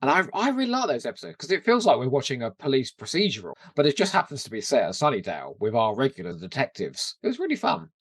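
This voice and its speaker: A man's voice: mid-range voice, wildly swooping pitch, fast, few pauses, breathy edge, no echo. A man chatting casually and animatedly as a podcast co-host about a TV show, swearing now and then.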